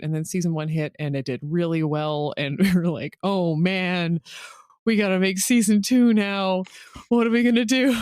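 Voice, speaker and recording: A woman's voice: fairly low pitch, a little mellow, no room sound, studio quality. A woman who writes audio drama, chatting casually in an interview. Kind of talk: casual chat.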